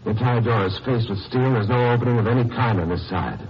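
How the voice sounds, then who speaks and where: An actor playing a steady, wry lawyer-sleuth hero in a 1950s radio crime drama. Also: male voice, medium pitch, fast, lightly acted. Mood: dry narration. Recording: muffled, noisy old radio recording.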